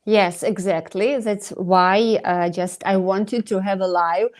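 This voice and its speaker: A woman's voice: mid-range voice, steady tone, slow. A woman from Ukraine with a Ukrainian accent, Ukrainian her first language, speaking hesitantly with many fillers in a live video interview. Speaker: endodontist, guest.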